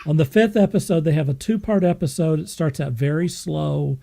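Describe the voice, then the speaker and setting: Higher-voiced mellow man, emphatic podcast host. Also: gravelly, a little hiss or hum. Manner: emphatic, effusive, halting delivery with repetition. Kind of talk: enthusiastic casual review talk.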